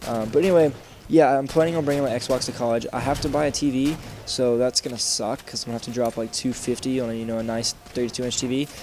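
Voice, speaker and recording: A young man giving rambling casual commentary over gameplay, sounding congested and clearing his throat, with lots of fillers and restarts. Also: mid-range voice, steady tone, fast talker, darkish tone, soft background music. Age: young adult. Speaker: gaming channel host.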